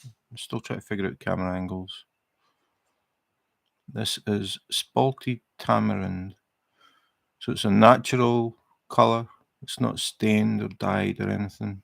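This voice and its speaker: A middle-aged Scottish man chatting casually on a livestream while he crafts, relaxed and rambling, with many fillers and restarts. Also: on the low side, measured pace, vocal fry, pauses often, no echo. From Scotland. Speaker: livestream host. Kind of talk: casual chat while crafting, reading viewer comments.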